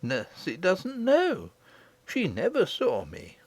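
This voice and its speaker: A woman voicing a kindly, dignified old great-great-grandmother queen in a storybook audiobook, gentle, gracious and composed. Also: on the low side, huge pitch swings, slow pace, husky, no room sound, voice pushed up, exaggerated voice. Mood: calm, mysterious.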